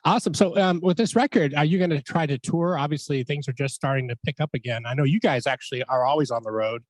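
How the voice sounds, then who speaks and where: A man's voice: a little high, moderate pace, dry recording, rough recording. A middle-aged man hosting an interview from a radio studio on a studio mic, talking eagerly and stumbling over his words. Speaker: podcast host. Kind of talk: casual interview. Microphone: studio microphone.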